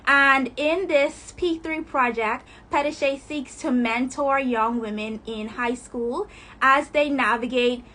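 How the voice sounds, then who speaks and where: A woman's voice: sits high, a bit bright. A young woman hosting a live chat, upbeat as she reads sponsor plugs and puts questions to her guest over a phone livestream. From Bahamas. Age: 20s. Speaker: host. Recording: phone livestream with faint noise.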